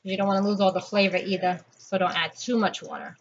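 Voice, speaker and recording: A woman's voice: medium pitch, fairly even intonation, quick pace. Woman chatting over noisy, dull kitchen recording. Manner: informal, chatty, narrating actions while busy with hands. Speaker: home cooking vlogger. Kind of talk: casual cooking vlog chat, talking viewers through steps. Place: home kitchen, indoors. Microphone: built-in camera or phone mic within arm's reach. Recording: dull lo-fi audio, loud noise, clicking.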